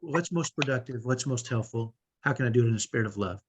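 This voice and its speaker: A middle-aged man with a strong, powerful voice, coaching parents in an energetic, emphatic way and often checking in with "right?". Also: mid-range voice, fast talker, slight background noise. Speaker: host.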